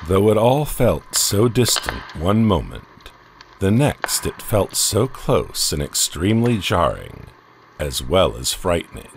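A man narrating a horror story in a slow, dramatic way. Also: sits low, big pitch swings, a little hiss or hum.

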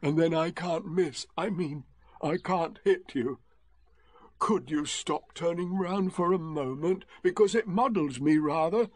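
A man's voice: a little high, unhurried, a little mellow, no room sound, voice pushed up. A man voicing a kindly, simple-minded male teddy bear who talks hesitantly. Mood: gentle. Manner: hesitant and muddled.

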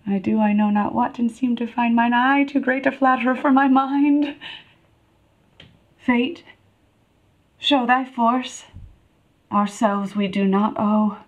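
A woman performs a young noblewoman from classical theatre, haughty and playful yet wistfully in love. Her voice sits fairly high, and she takes her lines slowly and deliberately, with theatrical pauses. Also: lo-fi sound. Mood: resigned longing. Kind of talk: classical Shakespearean stage monologue.

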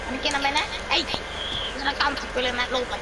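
A woman voicing a naive, excitable stranded alien in improvised video game roleplay, childlike and repetitive. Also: sits high, racing, close to an everyday voice. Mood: curious, naive. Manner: childlike, repetitive, easily amazed. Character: naive excitable stranded banana-obsessed alien named Dimitri. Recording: loud game noise behind the voice.

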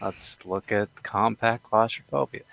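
Man, low voice, jokey, casual gaming chat, headset mic. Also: steady tone, unhurried, vocal fry, fairly bright tone, dry recording. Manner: teasing, jokey. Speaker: gamer.

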